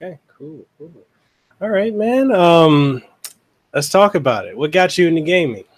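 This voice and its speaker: A man's voice: a little high, sing-song, a little slow. A man hosting a podcast interview in a casual, chatty style. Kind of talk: casual chat.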